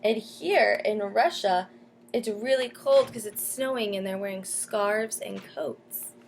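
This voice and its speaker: A woman teacher reading a picture book aloud to children, pausing to explain and ask questions. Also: medium pitch, creaky, slight background noise. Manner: explains and asks questions as if to young listeners. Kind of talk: storybook read-aloud with comments to children.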